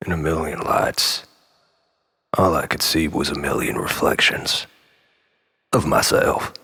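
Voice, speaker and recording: An actor playing a troubled, obsessive man pleading with his lost lover in a halting, rambling dramatic monologue, often dropping near a whisper. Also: a bass voice, expressive, gravelly, pushed and bright, breathy edge, exaggerated voice. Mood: bitter, resigned. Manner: halting, rambling, nervous self-corrections and sighs.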